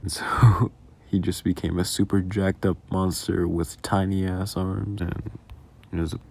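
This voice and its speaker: Low, creaky-voiced man rambling casually. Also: flat delivery, a little slow, a little mellow, slight background noise. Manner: rambling, self-deprecating, casually sweary. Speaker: audio roleplay creator. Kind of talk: casual behind-the-scenes commentary.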